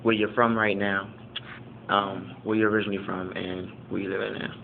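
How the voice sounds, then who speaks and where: A man interviewing a fellow student in a casual, halting way, restarting his questions and using many fillers. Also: fairly low pitch, little pitch movement, creaky. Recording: tinny phone-like line with faint background noise.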